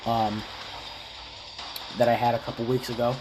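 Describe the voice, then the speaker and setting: A man with a slightly raspy, stuffy voice from a cold, casually explaining a game character's build step by step. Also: medium pitch, normal speed, background noise. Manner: explains step by step, relaxed and chatty. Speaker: gaming video creator. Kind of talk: casual game guide commentary.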